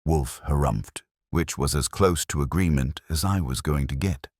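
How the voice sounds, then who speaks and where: A man narrating a detective story in the first person, with a gravelly voice and dry, hard-boiled delivery. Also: extremely low pitch, moderate pace, a little mellow, no echo. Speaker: audiobook narrator.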